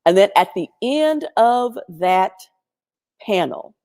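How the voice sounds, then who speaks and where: A woman telling a personal story in a chatty, animated way, speaking over a video call in a strong, loud voice. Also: mid-range voice, slow. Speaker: featured guest. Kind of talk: storytelling testimony.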